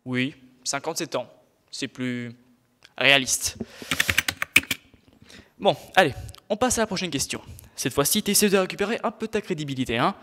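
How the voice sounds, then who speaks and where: A man's voice: a little high, lively intonation, moderate pace, long pauses, sharp tone, a little hiss or hum. A teenage boy acting as a sarcastic, exasperated but helpful sidekick in a student radio comedy, grumbling in slang. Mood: bossy, teasing.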